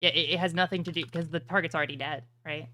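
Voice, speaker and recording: A woman's voice: sits low, steady tone, fast, bright, forceful tone, a little hiss or hum. A woman acting as game master, explaining rules and prompting players in a tabletop roleplaying game.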